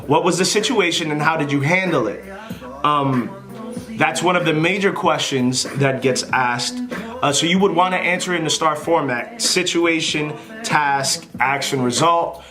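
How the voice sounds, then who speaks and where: A man giving casual, unscripted advice to a camera as a vlogger, in a rambling, informal and apologetic manner. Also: a little high.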